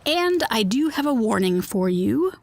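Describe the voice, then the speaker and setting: A woman's voice: a little high, big pitch swings, unhurried, creaky, darkish tone, no room sound, exaggerated voice. A woman playing a chatty, quirky radio host of a surreal underground city, informal and playful, in a scripted fiction podcast. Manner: informal, playful, given to tangents.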